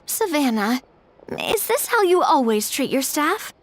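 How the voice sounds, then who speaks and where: An actress voicing a composed young woman who firmly stands up for an overworked worker and calmly explains the situation, in a game. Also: high voice, measured pace. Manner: calm, firm, explanatory. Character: composed young woman leading a mediating group, resolute. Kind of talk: game voice acting.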